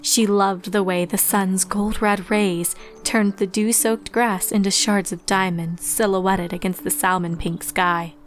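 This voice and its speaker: A woman's voice: mid-range voice, steady tone, unhurried, hardly any pauses. A woman reading scripted storybook narration as the narrator of a fan fiction tale. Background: music.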